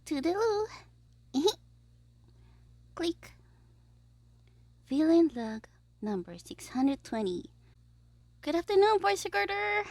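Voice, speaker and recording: A woman voicing a gleeful, scheming young male supervillain in an ASMR audio roleplay, theatrical and petulant, musing aloud with breathy asides. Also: extremely high pitch, very animated, slow, pauses often, a little mellow, no echo, exaggerated voice. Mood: excited, smug.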